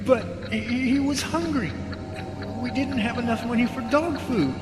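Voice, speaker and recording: A man's voice: very high-pitched, a little slow, over loud music. A man playing an anxious, earnest, hard-up husband in an amateur radio drama, speaking expressively. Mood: pleading.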